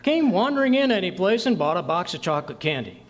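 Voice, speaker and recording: High-pitched, flustered old-time radio husband played by a man. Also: fast. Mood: puzzled, suspicious. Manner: folksy, stammering, excitable.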